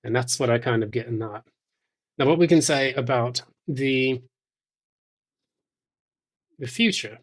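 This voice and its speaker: Man, medium pitch, tarot reader, addressing the listener directly, measured, halting, thoughtful pauses.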